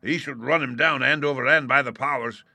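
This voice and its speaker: Man voicing a blustering, high-pitched old-time sailor landlord. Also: moderate pace, creaky, bright, forceful tone, no room sound. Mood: excited, bluff. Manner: hearty, blustering, salty seafaring turns of phrase. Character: cheerful, cunning one-legged sailor turned tavern landlord. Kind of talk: audiobook character voice.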